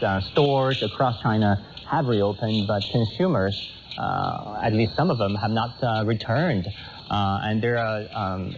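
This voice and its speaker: Male news-show moderator, slightly low voice, measured questions, muffled shortwave broadcast. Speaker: radio host. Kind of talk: current affairs interview moderation. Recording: muffled shortwave radio, heavy static. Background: loud shortwave static.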